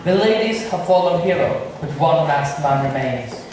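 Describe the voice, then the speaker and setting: A man's voice: on the high side, expressive, moderate pace, natural voice. A young man playing a scheming prince's brother in amateur stage Shakespeare, recorded from afar in an echoey hall. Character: scheming, smooth-lying villain, the prince's brother. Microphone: far camera. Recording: distant, echoey hall, faint noise.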